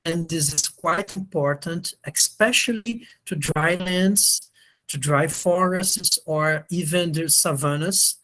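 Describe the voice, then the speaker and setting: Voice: medium pitch, slow, sharp tone, poor audio. A slightly sick lecturer who is not a native English speaker, explaining hesitantly on a video call and often checking understanding. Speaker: university lecturer.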